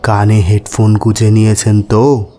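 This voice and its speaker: A man narrating an audio story as its host, in a measured, dramatic and expressive storytelling manner. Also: lowish voice. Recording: background music under voice.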